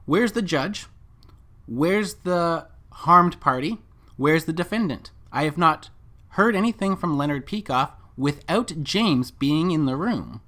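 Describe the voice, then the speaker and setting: A commentator delivering scripted video commentary and reading from documents, with rhetorical, emphatic and deliberate phrasing. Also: mid-range voice, animated, a bit bright. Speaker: commentator and narrator.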